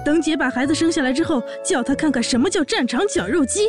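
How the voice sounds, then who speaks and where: Woman playing brash, high-voiced orc warrior big sister. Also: animated, fast, music in the background. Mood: indignant. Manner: sassy, blunt, bossy. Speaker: voice actor in a fan audio drama. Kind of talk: audio drama acting and narration.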